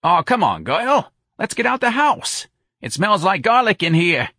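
Brash working-class woman acted by a male narrator, audiobook dialogue, bossy and scolding, husky, animated delivery. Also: high voice, unhurried, no echo, clean studio sound, pitched above the performer's own voice, full character voice. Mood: brash, pushy. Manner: pushy, emphatic, scolding.

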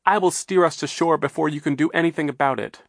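A man's voice: on the high side, fast, creaky, no echo, studio quality, pitched above the performer's own voice. A man doing a determined young girl. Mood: firm. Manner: firm, earnest. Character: determined brave girl, eldest orphan.

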